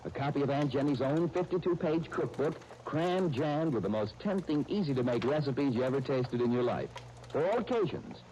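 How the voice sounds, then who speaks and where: A man working as a radio announcer, giving a folksy, eager sponsor pitch in 1930s style. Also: lively intonation, moderate pace, mellow. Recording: noisy 1930s radio broadcast disc.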